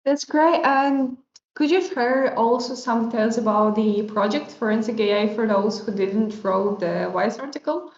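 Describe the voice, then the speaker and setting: A woman hosting a video-call interview. She talks in a friendly way, searching for her words now and then, in a slightly high voice. The audio is echoey and muffled. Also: a little slow, darkish tone. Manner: friendly, searching for words, non-native phrasing. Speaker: interview host.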